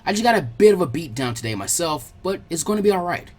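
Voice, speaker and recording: A man's voice: fairly high pitch, sing-song, fast talker, breathy edge, faint music behind. A man voicing a brash young boy ninja, eager, boastful and given to whining, in an audiobook-style story. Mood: upbeat. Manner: boastful, whiny.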